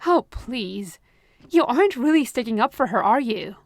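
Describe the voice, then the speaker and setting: A high, honeyed voice of a possessive therapist who controls her patient with calm sweetness, as a woman acts her out. The sound is close and dry, with no room around it, like an intimate ASMR roleplay. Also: moderate pace, no echo. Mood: smooth, controlling. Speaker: voice actress.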